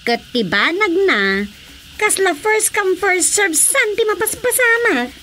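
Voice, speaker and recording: An actress plays a younger married Filipino woman in a radio drama comedy, full of sympathy and exclamations. Her voice is high and chatty. The recording carries faint background noise. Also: sing-song, slow, sharp tone, exaggerated voice. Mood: concerned.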